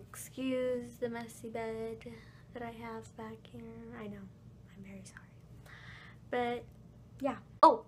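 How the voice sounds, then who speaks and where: Raspy young woman vlogging on a phone. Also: on the high side, a bit bright, a little hiss or hum. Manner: chatty and apologetic, many ums and likes. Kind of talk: casual vlog chat. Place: bedroom at home. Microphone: phone held at arm's length.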